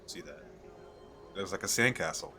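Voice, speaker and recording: Man playing a low-voiced, eager fantasy merchant. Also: animated, normal speed, music in the background. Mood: conciliatory, upbeat. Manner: hesitant, rambling, persuasive sales patter. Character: eager, chatty male fantasy merchant selling magical crystal castles. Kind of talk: ASMR audio roleplay, spoken to the listener.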